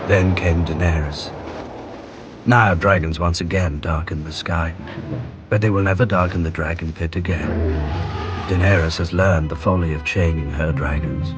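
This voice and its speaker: Deep-voiced man narrating grave lore. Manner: grave, measured storytelling. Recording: music behind the voice.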